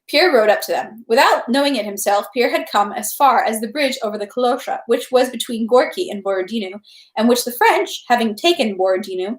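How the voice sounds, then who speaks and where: A woman reading a novel aloud as narration, in an animated, expressive way. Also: a little high. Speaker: read-aloud host. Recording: echoey room.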